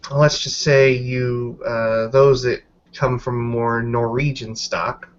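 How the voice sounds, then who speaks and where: Male player as female werewolf packmate, frank and wry, tabletop game. Mid-pitched, casual delivery, muffled lo-fi recording. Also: level tone, slow, slight background noise, close to an everyday voice. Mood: wary, frank. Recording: dull lo-fi audio.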